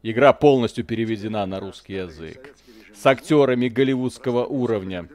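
A man's voice: mid-range voice, brisk, a little mellow. A man hosting a live video game stream, chatting casually in slangy, joking language with mild expletives.

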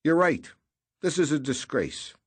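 A man's voice: a little high, big pitch swings, unhurried, breathy edge, voice pushed up, full character voice. A man voicing a jolly, plump, bald middle-aged bureaucrat who is cheerful and evasive.

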